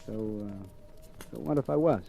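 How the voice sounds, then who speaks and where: Mellow, cocky wisecracking drifter. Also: male voice, fairly low pitch, normal speed, creaky. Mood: guarded, dismissive. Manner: wisecracking, slangy, offhand. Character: cocky, cynical drifter man accused of murder. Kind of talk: 1940s radio acting, first-person narration and dialogue. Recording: old radio recording with music behind.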